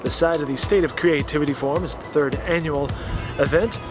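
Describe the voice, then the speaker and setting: Brisk man reporting the news. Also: a little high, music in the background.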